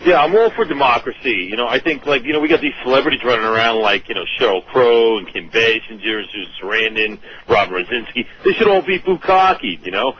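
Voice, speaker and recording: A man's voice: medium pitch, animated, fast talker, few pauses. A man calling a phone-in with a casual, opinionated comment. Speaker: phone-in caller. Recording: muffled phone line, faint hiss.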